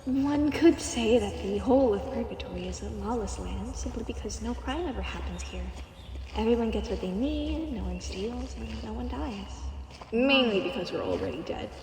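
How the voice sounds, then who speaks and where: A woman's voice: fairly high pitch, lively intonation, moderate pace, a crowd in the background. A woman playing a playful, foul-mouthed undead guide in an audio roleplay. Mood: wry. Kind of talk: audio roleplay acting, conversational. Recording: echoey reverberant space with clear noise.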